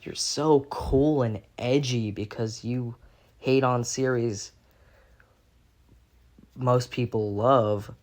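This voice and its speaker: A young man in an unscripted critical rant as a video commentator, halting and repeating himself as he gropes for words, exasperated and sweary. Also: mid-range voice, steady tone. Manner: halting, repetitive, groping for words.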